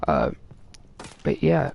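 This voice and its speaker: A man's voice: drawn-out words, muffled recording, noisy background. A young man telling a rambling story full of fillers into a gaming mic while he plays a shooter game. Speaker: gaming vlogger. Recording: game music loud in the background. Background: game music.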